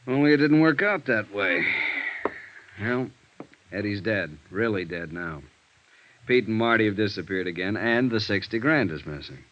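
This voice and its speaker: A muffled vintage radio recording of a man playing a world-weary male police sergeant. He speaks in a low register with sceptical, gruffly businesslike delivery, in classic detective drama style. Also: lively intonation, normal speed, natural voice. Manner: matter-of-fact, gruffly businesslike.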